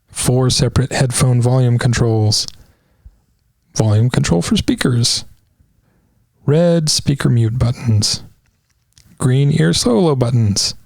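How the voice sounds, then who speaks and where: A man's voice: mid-range voice, gravelly, darkish tone, no room sound. A man doing a casual, wry walkthrough of an audio product, with sarcastic asides. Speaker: product reviewer.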